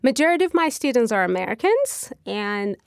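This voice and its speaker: A woman's voice: a little high, big pitch swings, a little fast, creaky, clear, resonant tone, dry recording, studio quality. A woman from Afghanistan whose first language is Farsi, a Farsi teacher being interviewed, talking casually with fillers and frequent laughter. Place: New York.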